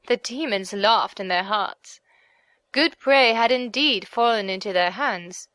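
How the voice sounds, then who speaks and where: A woman's voice: fairly high pitch, fairly bright tone, no room sound. A woman reading scripted storybook narration as an audiobook narrator.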